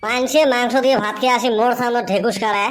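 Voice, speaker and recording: A woman's voice: fairly high pitch, steady tone, racing, natural voice. A woman voicing a cheeky, defiant village child in a comedy cartoon, complaining and bossy in a rural northern Bengali border dialect. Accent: rural northern Bengali dialect of the Assam-Bengal border.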